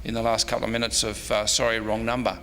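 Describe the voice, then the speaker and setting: A man's voice: lowish voice, completely flat delivery, quick pace, sharp tone. A man giving an informal slide-show talk as a presenter at a convention. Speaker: presenter, old-time radio historian. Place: indoor convention presentation room. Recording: reverberant hall, faint noise.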